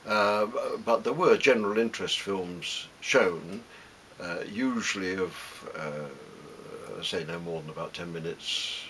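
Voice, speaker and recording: Slow, low-voiced older British man. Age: 70s. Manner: halting, hesitant, with many pauses. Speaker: interviewee. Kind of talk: reminiscing in an interview. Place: small book-lined room at home. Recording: steady background hiss.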